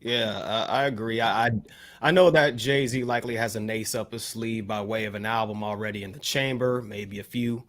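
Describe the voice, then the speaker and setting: An American man on a casual hip hop panel, talking in wordy, measured, rather formal phrases. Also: fairly low pitch, fairly even intonation, fairly bright tone. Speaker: talk show panelist.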